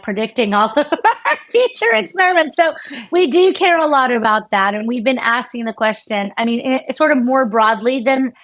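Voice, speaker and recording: An American woman neuroscientist explaining her research in a conversational interview, animated and full of fillers and restarts. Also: a little high. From United States. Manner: animated, many fillers and restarts, laughs often. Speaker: neuroscientist guest.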